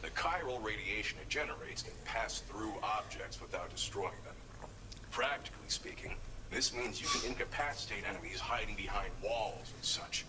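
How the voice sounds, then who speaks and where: An actor playing a male video game character giving a measured, explanatory weapons briefing. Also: on the low side, animated, background noise, natural voice. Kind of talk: scripted video game dialogue.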